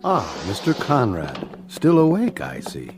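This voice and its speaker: A suave and secretive alien leader in a radio play. The voice is on the low side with very lively intonation, formal and faintly sinister, over light music. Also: male voice. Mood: sly courtesy. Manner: formal, smooth, measured. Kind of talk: radio drama acting.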